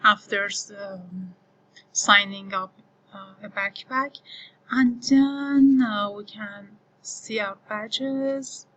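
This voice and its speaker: A woman whose first language is Farsi, slowly walking through a software screen as an online course instructor, thinking aloud with many pauses and fillers. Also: medium pitch, muffled recording. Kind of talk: screen-recorded tutorial walkthrough.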